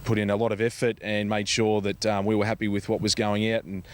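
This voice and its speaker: An Australian man with a fairly low voice speaks as an interviewed charity representative. His delivery is conversational and halting, with repeated words. There is some ambient noise behind him. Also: little pitch movement.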